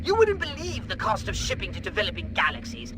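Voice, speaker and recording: Excitable scheming alien scientist from a comedy game, super high voice, music behind. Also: male voice, fast. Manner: theatrical, fussy. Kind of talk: comic video game acting.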